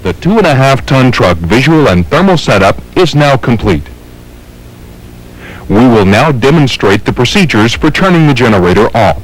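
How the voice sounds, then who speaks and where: A man's voice: mid-range voice, sing-song, moderate pace, rough recording. A man with an American accent reading scripted instructional narration in a formal, methodical, step-by-step way. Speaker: training film narrator.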